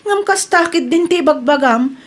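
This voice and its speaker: A blunt young woman friend with an Ilocano accent, as a woman acts her out. Her voice is high, and she mocks and teases in a dismissive, audio-drama style. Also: lively intonation, normal speed. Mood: mocking.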